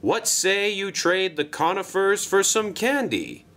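A fussy, pompous railway controller, voiced by a man, with a high, bright, forceful tone. His speech rises and falls all over the place as he bustles along in a brisk, formal manner for a storybook tale. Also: big pitch swings, heavily acted. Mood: cheerful.

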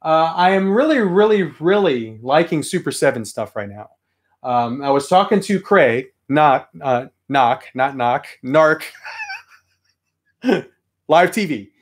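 Chatty man getting over a cold. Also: a little high. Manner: rambling, chatty, reads chat aloud. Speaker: toy collector streamer. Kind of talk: casual live stream chat. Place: home room full of toy shelves. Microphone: webcam at desk.

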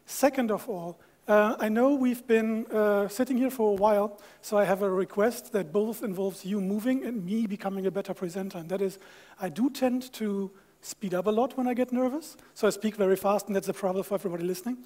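Fast-talking German man, high voice. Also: a little mellow. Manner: friendly, joking, fast-talking with fillers. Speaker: conference speaker. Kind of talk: tech conference talk. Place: large auditorium stage.

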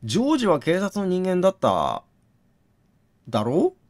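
Guy doing a lets play, voice goes up and down a lot, reads the text out n thinks out loud. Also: sits high, huge pitch swings, moderate pace. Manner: thinks out loud, questioning himself and reasoning step by step. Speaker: game streamer reading on-screen text aloud. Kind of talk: gaming commentary mixed with read-aloud narration.

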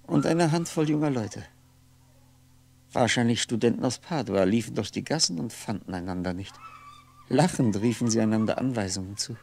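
Lonely, gravelly-voiced brooding man. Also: mid-range voice, animated, darkish tone. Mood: reflective, absorbed. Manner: halting, introspective, self-correcting, deliberate articulation. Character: lonely, brooding man musing aloud over faded memories. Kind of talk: 1960s German radio play monologue.

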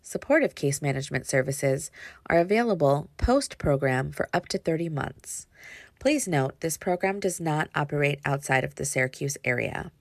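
A woman's voice: low voice, no echo, studio quality. A woman, a youth development specialist, reading a scripted presentation over slides on a webcam.

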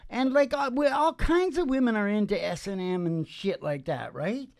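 A man's voice: high-pitched, animated, moderate pace, gravelly. A middle-aged man chatting casually and bluntly between songs as a show host, rambling and swearing, close on a stage microphone. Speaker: singing podcast host, musician. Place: small dim curtained indoor stage. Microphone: stand microphone close to the mouth. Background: acoustic guitar.

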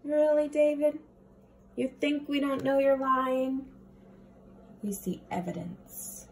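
A young woman teacher reading a storybook aloud to children, with playful, expressive comments. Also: sits high, takes it slowly, vocal fry, slight background noise. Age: young adult.

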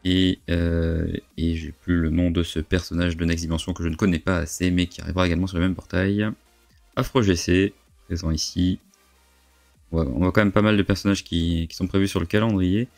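Male gaming host, thirties, low voice stuffed up with a cold, thinking aloud casually, close dry sound. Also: level tone, fairly quick, creaky, darkish tone, dry recording, faint music behind. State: congested with a cold. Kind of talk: casual game commentary. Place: indoor home streaming setup. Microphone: large desk microphone, close.

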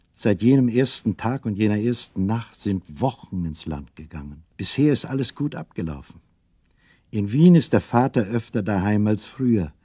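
A man's voice: lowish voice, warm tone, husky, no room sound. A man narrating a scripted children's radio play as a storyteller.

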